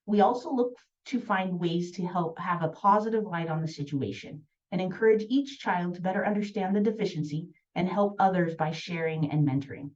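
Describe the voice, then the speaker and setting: A woman's voice: fairly low pitch, fairly quick, few pauses. Composed American mother, distant lo-fi sound. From United States. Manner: steady, composed reading. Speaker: parent of two patients. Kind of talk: read prepared statement.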